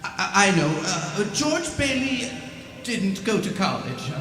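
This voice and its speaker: A man's voice: high-pitched, big pitch swings, takes it slowly, over music, heavily acted. A man playing a naive, eager angel with hesitant, stammering speech in a live radio play. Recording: echoey live stage.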